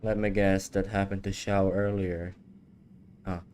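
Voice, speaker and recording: A man's voice: sits low, flat delivery, a little slow, darkish tone. A man who streams games, giving brief, offhand, casual commentary as he plays. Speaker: game streamer. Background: game music.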